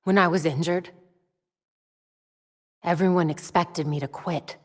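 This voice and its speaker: A woman voicing a young crime-fighting superheroine in a video game, with an American accent and a wry, crisp, determined delivery. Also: lowish voice, fairly even intonation, unhurried, no echo, studio quality. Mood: businesslike. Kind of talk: scripted video game voice lines. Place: recording studio.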